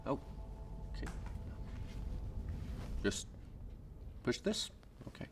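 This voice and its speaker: A man's voice: drawn-out words, recorded from a distance, slight background noise. A man voicing a nervous, ordinary man on a test show, speaking in a hesitant, fumbling way.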